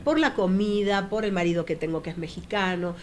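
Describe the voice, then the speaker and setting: A middle-aged woman with an Argentine accent, speaking as a studio guest in a thoughtful, measured way with frequent fillers. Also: mid-range voice, darkish tone, slight background noise. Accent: Argentine Spanish. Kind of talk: casual studio conversation. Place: small TV studio.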